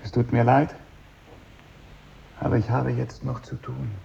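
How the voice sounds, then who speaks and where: Troubled intense man in an old radio play, dark creaky voice, oddly formal and probing, muffled recording. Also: medium pitch, flat delivery, unhurried, a little hiss or hum. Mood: affronted, formal. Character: mentally disturbed former pupil, eerie, intense, easily affronted. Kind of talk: 1950s German radio drama acting. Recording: dull vintage radio recording.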